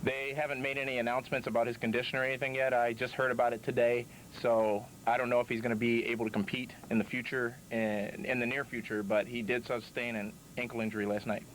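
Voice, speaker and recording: A man's voice: mid-range voice, level tone, fast, few pauses. A man hosting a public-access wrestling call-in show in a matter-of-fact way, on an old TV tape. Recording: faintly noisy 1990s public-access TV tape.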